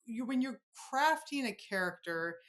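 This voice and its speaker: A woman's voice: on the high side, expressive, normal speed, a bit bright. A woman hosting a podcast, asking questions in a casual, conversational interview style.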